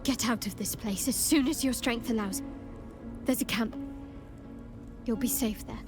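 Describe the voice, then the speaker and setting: Woman acting a slow, hushed, grieving young heroine. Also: fairly high pitch, over music, lightly acted. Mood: weary, reflective. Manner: measured, hushed, halting, reflective delivery. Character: grieving young woman, willful, wistful, tenderly resolute. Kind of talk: cinematic video game voice acting.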